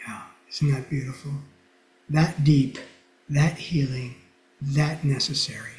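A soft-spoken man with a low, quiet voice, teaching mindfulness and guiding a meditation gently, with pauses and fillers over a video call. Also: reverberant, rough recording. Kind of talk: lecture and guided meditation.

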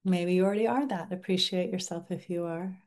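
Gentle, low-voiced woman teacher. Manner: gentle, unhurried, pausing often. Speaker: mindfulness teacher. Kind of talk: guided reflection and online class wrap-up. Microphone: video call.

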